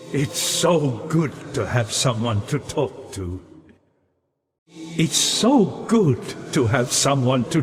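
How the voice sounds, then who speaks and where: Slow, swooping undead scholar. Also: male voice, medium pitch. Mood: gentle, courteous. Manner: formal, ornate, halting and earnest. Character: courteous, lonely undead male scholar, bookish and melancholy. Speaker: non-player character in a fantasy video game. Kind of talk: theatrical video game character acting. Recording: long reverberant hall, background hiss and ambience.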